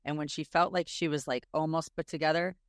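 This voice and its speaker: Animated woman podcast co-host. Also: a little fast, clean tone, no room sound, clean studio sound. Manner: animated storyteller with lively pitch jumps. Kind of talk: casual chat.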